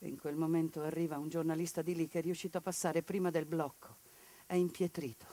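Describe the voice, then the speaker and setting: A woman in a theatrical monologue who delivers a grave, measured report and reads the punctuation aloud. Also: deep voice, level tone, dark tone. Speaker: stage performer. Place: radio studio or stage.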